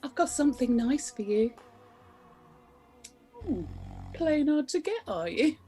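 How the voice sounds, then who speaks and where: A woman playing a cheeky, frisky middle-aged British wife in a radio comedy play, expressive and suggestive. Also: sits high, a little slow, faint music behind. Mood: flirtatious.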